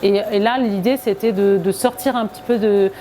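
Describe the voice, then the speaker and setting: A woman talks in an explanatory interview as a local project representative. Her voice sits at a middle pitch, with faint ambient noise behind her. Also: steady tone, moderate pace, dark tone.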